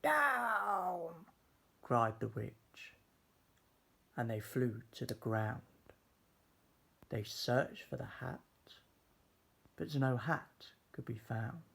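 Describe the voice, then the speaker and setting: A man reading a children's story aloud in a measured, expressive, dramatic way. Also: medium pitch, vocal fry, slightly rough. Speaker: storybook reader.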